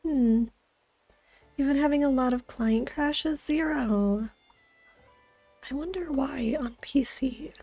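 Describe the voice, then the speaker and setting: Slow-paced, higher-voiced woman game streamer. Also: mellow, faint music behind. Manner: gushing, with gasps and exclamations. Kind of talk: casual live gameplay reaction.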